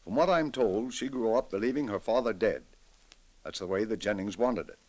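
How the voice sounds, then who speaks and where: An actor playing a grave, reflective middle-aged judge in an old-time radio drama, speaking in a measured, deliberate, dramatic way. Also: male voice, fairly low pitch, animated, a little mellow. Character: grave, reflective male judge with a troubled conscience.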